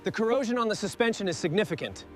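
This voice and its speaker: A crew member making calm, matter-of-fact remarks about the repair work. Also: mid-range voice, rapid-fire, faint music behind. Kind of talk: scripted work talk.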